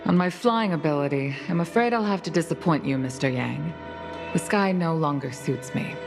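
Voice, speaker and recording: An actress playing a composed, protective middle-aged veteran pilot mother in a video game, speaking formally and with weariness. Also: low-pitched. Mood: composed, wistful. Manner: formal, measured, gracious. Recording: background music under the voice.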